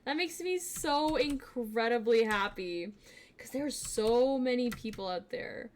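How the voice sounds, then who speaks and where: Bubbly young woman streamer chatting. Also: unhurried. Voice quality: fairly deep voice for a woman. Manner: bubbly, giggly, many fillers, swings between soft asides and excited greetings. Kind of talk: casual live-stream chat.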